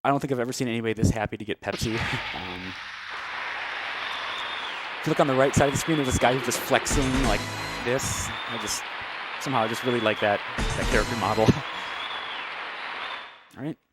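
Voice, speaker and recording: Loud game music plays behind a man talking through a speedrun. His delivery is casual and off the cuff, with dry, amused asides about the game. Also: medium pitch, moderate pace, long pauses, over a noisy crowd, poor audio. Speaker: speedrunner commentating on own play. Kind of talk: casual live game commentary. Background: loud video game music.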